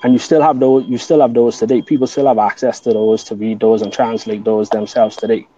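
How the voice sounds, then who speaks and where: Mellow-voiced Bahamian man explaining earnestly. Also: mid-range voice, flat delivery, a little fast, slightly rough, dull audio. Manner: earnest, explains at length with restarts. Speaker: guest. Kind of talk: casual podcast conversation.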